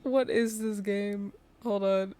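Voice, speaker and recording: A woman's voice: medium pitch, steady tone, slow pace, warm tone, soft background music. A woman livestreaming a video game, chatting casually and reacting aloud as she plays. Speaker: game streamer. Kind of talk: casual livestream gameplay chat.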